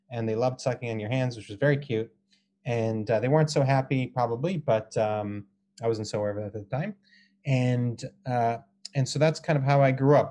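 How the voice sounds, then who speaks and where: An American man gives an informal online lecture as a teacher, speaking haltingly with frequent ums and pauses over a video call. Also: mid-range voice, a little mellow, slight background noise. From Israel and Colorado, US. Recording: Zoom call audio.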